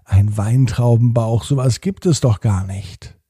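A man playing a prim little princess, husky. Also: mid-range voice, unhurried, mellow, dry recording, studio quality. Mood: mildly indignant. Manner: affected, formal, ladylike speech. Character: polite little girls playing posh princesses.

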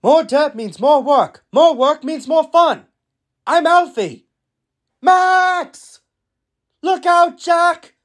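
A man as a high, cheerful little digger. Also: drawn-out words, pauses often, heavily acted. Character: cheerful, friendly male little excavator. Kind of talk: cartoon character voice reel.

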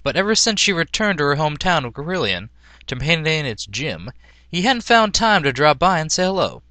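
A man's voice: a little high, sharp tone, dry recording. A man narrating a story as a theatrical dramatic reading. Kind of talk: dramatic storybook-style reading of fan fiction.

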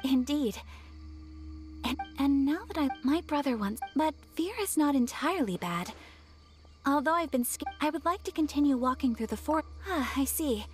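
A woman playing a gentle, refined young noblewoman with polite, measured speech in a video game. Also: sits high, lively intonation. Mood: calm, reassuring. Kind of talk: English anime-style video game voice acting. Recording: soft game music behind.

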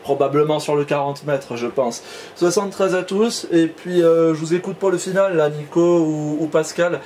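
A man's voice: fairly high pitch, moderate pace, darkish tone, a little hiss or hum. A man chatting casually as an amateur radio operator, hesitantly, often repeating and trailing off in his phrases. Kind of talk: casual radio contact chat.

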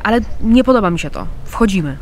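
An actress playing a worried but determined adult daughter in a naturalistic radio crime drama. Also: female voice, mid-range voice, expressive, fast, darkish tone, a little hiss or hum, close to an everyday voice.

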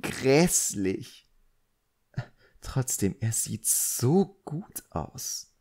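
Audiobook role: man as affected, gossipy society lady, pitched up and gushing. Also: sing-song, very slow, darkish tone, breathy edge, heavily acted. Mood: gushing, disdainful. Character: gossipy, snobbish society woman, smitten and shallow.

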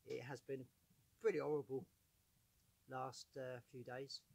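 Hoarse, husky man with a gravelly cough. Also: mid-range voice, little pitch movement, a little slow, a little mellow, poor audio. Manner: rambling, coughs often, many fillers. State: hoarse from bronchitis. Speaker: vlogger. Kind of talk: casual chat to camera. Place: outdoors in a sunny yard by a woodpile. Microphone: far camera on a tripod.

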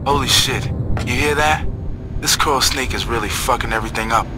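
A man's voice: medium pitch, a little fast, very noisy, lightly acted. A man playing a cocky, foul-mouthed insider in a video game, slangy and smug. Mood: excited. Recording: loud game music underneath.

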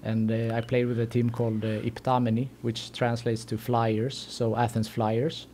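A man with a Swedish accent answering casually and haltingly as a podcast guest. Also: mid-range voice, little pitch movement, mellow, a little hiss or hum. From Sweden.

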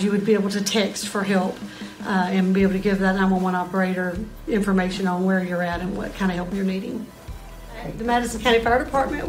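A woman's voice: mid-range voice, low-quality recording. A middle-aged woman, giving an informational explanation as a dispatch supervisor. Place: small dispatch room indoors. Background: music.

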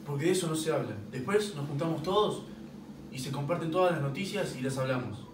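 A man's voice: a little high, a little fast, natural voice. A man with an Argentine accent playing a subdued young soldier hiding in a war dugout, in stage play dialogue. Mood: guarded, matter-of-fact. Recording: echoey distant room recording, clear noise.

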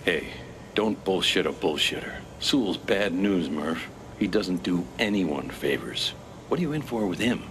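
A stern but protective American prison officer from a video game. He is middle-aged and blunt, with a fairly low voice that moves expressively, heard over clear background noise. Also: animated, measured pace. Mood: concerned. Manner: blunt, fatherly.

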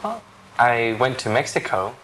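A young man acting out simple English lesson dialogues in a clear, deliberate voice. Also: mid-range voice, big pitch swings, fairly bright tone, slight background noise, low-quality recording. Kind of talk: slow scripted classroom dialogue.

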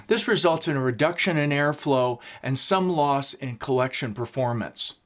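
Middle-aged man, workshop instructor, even explanatory delivery, mid-range voice. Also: medium pitch, normal speed. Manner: steady, explanatory, gestures while talking. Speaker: woodworking instructor. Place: small home workshop, indoors.

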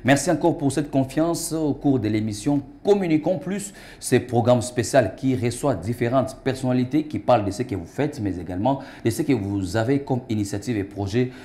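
A man's voice: medium pitch, moderate pace, few pauses, warm tone. A man in his thirties hosting a TV studio interview, formal and ceremonious, with frequent hesitations. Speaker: TV talk show host. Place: TV studio set.

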